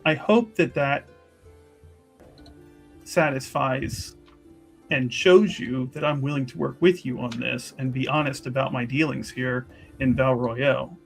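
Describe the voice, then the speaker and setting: A man playing an earnest, diplomatic chantry investigator in a tabletop fantasy game, speaking formally and haltingly as he picks his words with care. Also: mid-range voice, gravelly, a bit bright. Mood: apologetic, conciliatory. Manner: halting, formal, courteous, choosing words carefully. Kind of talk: improvised in-character tabletop roleplay.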